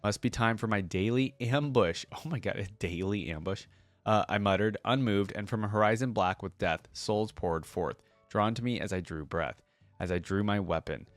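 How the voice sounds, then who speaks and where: Guy with a lowish voice reading story stuff out loud, dry sound, chill reaction comments mixed in. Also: normal speed, no room sound. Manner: casual, interjects comments while reading. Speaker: reaction video creator. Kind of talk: reading lore aloud with casual reactions.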